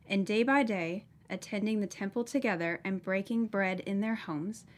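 A woman reading a Bible passage aloud in a steady, even way. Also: medium pitch. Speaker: scripture reader.

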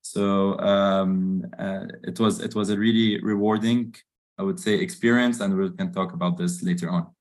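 Man, 30s, low voice, halting and filler-heavy, student council member. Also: fairly even intonation. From Lebanon. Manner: hesitant, many fillers and "I would say". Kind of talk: interview answers.

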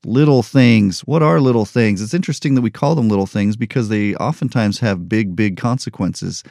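A man's voice: fairly low pitch, normal speed, darkish tone, dry recording, studio quality. A man in his forties hosting a motivational podcast, talking candidly and unscripted with a joking touch. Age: 40s. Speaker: podcast host. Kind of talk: solo motivational podcast monologue.

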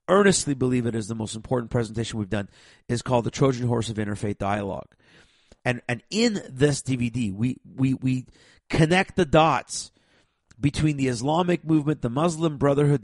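A man with a raspy voice from a cold, hosting a program and talking forcefully to viewers, with heavy stress and stammered restarts. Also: mid-range voice, no echo. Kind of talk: emphatic monologue to viewers.

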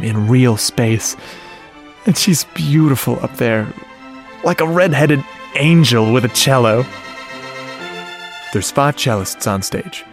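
An actor playing a lovestruck, self-deprecating geek, narrating his story in a confiding, earnest way in a radio drama. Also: mid-range voice. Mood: dreamy. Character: lovestruck, self-deprecating, geeky male sound-effects artist. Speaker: narrator and lead character. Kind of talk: first-person radio drama narration, conversational. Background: music under the voice.

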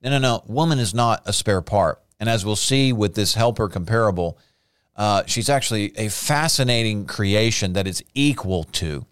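Man teaching scripture in a crisp voice. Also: lowish voice, normal speed, fairly bright tone, dry recording, clean studio sound. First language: not Hebrew. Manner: explains Hebrew words, emphatic bursts. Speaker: Bible teacher. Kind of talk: sermon teaching.

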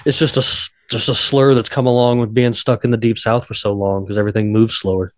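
A middle-aged man chatting on a podcast as the guest, rambling and drawn out, with a slow, slurring way of talking. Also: lowish voice, little pitch movement, no room sound, studio quality. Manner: rambling and drawn out, told he slurs. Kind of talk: casual podcast chat.

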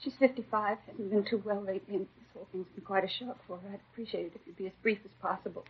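An actress playing a shaken, devoted American housewife just widowed, speaking politely in short sentences in a 1950s radio drama. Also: female voice, mid-range voice, very fast, a little hiss or hum, natural voice. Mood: subdued, considerate. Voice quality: husky. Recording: dull 1950s radio recording.

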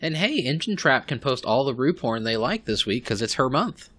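Man, bright tone, sarcastic, profane, casual podcast chat, mocking impressions. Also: medium pitch, very animated, moderate pace. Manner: sarcastic and profane, mocks others in put-on voices.

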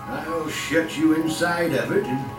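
A man's voice: a little high, fairly even intonation, unhurried, lightly acted. An actor playing a sly old male murderer in a theatrical old-time radio horror style, drawing out and repeating his words. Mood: gloating, menacing. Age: older. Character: gloating old male murderer, sly, cackling, cowardly when cornered. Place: live stage performance. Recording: roomy distant stage recording, loud music.

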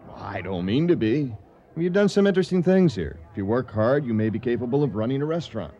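An actor playing a vain, touchy, competitive gourmet cook in a comedic radio drama, boastful and patronizing. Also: male voice, medium pitch, big pitch swings, quick pace, warm tone, highs cut off, natural voice.

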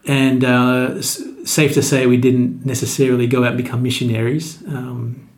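A man's voice: mid-range voice, flat delivery, moderate pace. Man, 40s, pastor, podcast guest, friendly, easygoing. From Australia. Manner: friendly, easygoing, with frequent restarts. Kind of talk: casual interview.

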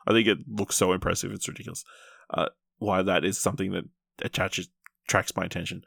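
A man's voice: deep voice, level tone, fast, vocal fry, fairly bright tone, husky, no room sound, clean studio sound. A man hosting a podcast and chatting casually about films. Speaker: podcast host. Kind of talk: casual film review chat.

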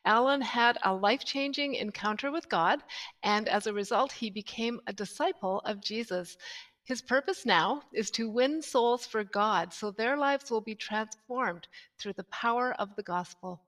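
A woman's voice: on the high side, normal speed, fairly bright tone. A woman reading a prepared, formal introduction of a guest speaker on an online show.

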